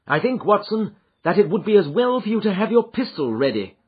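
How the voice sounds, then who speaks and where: A shrewd detective , voiced by a male reader, gives brisk, commanding orders in a raised, lively voice. His tone is husky, and the recording is dull and lo-fi. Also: high-pitched, pitched above the performer's own voice, exaggerated voice. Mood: urgent.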